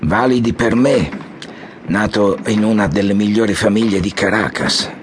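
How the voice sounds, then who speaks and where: An actor playing a weary, disillusioned general and former president in an audio drama, speaking in solemn, formal, measured phrases. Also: male voice, fairly low pitch, a little hiss or hum, close to an everyday voice. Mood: bitter, disillusioned. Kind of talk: audiobook radio drama acting. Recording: music behind the voice.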